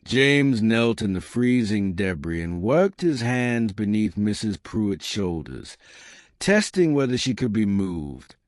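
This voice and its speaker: A man reads historical fiction with a measured, dramatic touch. His voice sits on the low side and moves very slowly, rising and falling expressively. The sound is dry and free of room echo. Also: sing-song. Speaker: audiobook narrator. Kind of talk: scripted dramatic storytelling narration of historical romance.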